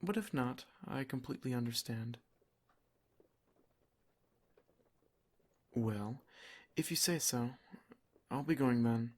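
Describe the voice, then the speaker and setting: A man playing a doting, protective vampire lord in an ASMR roleplay, soft-spoken, formal and reassuring. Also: medium pitch, normal speed, lightly acted. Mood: tender, reassuring.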